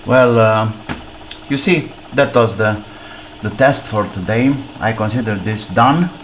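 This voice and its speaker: Low-voiced, slow-talking man. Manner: halting, thinking aloud in short fragments. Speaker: electronics repair hobbyist presenting his restoration. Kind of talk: casual hands-on repair commentary. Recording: clearly noisy recording with clicks.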